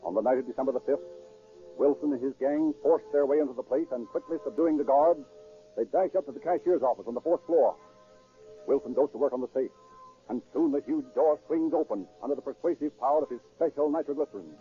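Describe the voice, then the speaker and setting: Fast-talking 1930s radio crime narrator, man. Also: medium pitch, faint music behind. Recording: dull, muffled 1930s radio recording.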